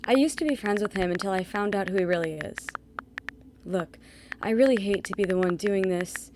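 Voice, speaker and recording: A woman's voice: mid-range voice, moderate pace, noisy background, close to an everyday voice. A young woman playing a blunt, exasperated other woman in an audio drama. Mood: awkward, hesitant. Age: young adult.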